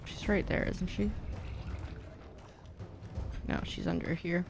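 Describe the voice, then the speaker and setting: A woman's voice: on the low side, huge pitch swings, slow, gravelly, music in the background. A young adult woman giving casual, blunt, sweary live-stream commentary while speedrunning a game. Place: small indoor streaming room at home.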